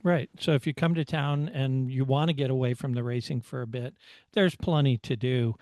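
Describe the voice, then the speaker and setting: A man talking casually as a podcast host, often halting and restarting his sentences. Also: mid-range voice, steady tone, darkish tone, no room sound. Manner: halting, false starts. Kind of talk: casual chat.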